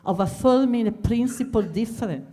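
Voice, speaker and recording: A woman closing a lecture, earnest and measured, pausing on fillers. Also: fairly high pitch, mellow. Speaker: speaker at a talk. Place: large lecture hall. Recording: hall reverb from a distance.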